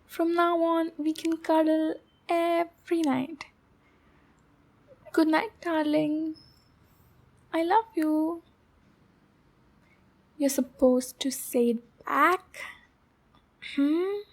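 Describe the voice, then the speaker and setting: Woman acting a high-pitched, possessive demon girl. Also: steady tone, full character voice. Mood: soft, doting. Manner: slow, cooing, possessive, sweetly threatening. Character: shy, possessive yandere demon girl, clingy and menacing. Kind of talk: roleplay ASMR, intimate scripted monologue addressed to listener.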